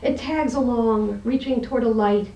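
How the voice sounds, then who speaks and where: A woman's voice: a little high, moderate pace, mellow, muffled recording. A woman who is an interview guest, a poet and teacher, talking and reading her poetry aloud. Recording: roomy echo, distant microphone.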